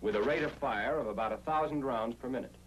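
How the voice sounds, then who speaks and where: A man's voice: medium pitch, quick pace, background noise. An American man reading a scripted 1940s instructional film narration in an emphatic, explanatory way, on an old film soundtrack.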